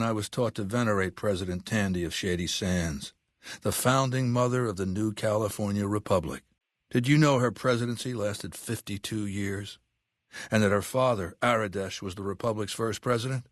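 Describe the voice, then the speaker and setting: An actor playing an imperious, cerebral middle-aged warlord in a video game, speaking with deliberate, disdainful authority. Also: male voice, fairly low pitch, no room sound, clean studio sound, close to an everyday voice. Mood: reflective, wry. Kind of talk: video game character dialogue.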